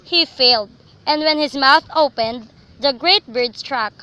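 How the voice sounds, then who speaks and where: A woman's voice: sits high, unhurried, pushed and bright, highs cut off. A young woman narrating a scripted story for a radio drama.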